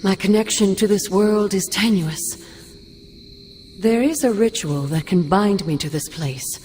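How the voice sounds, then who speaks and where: A woman's voice: mid-range voice, unhurried, dark tone, a little hiss or hum, close to an everyday voice. An actress playing the ghost of a stern but caring older woman mentor in a video game cutscene, speaking formally and with command. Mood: solemn.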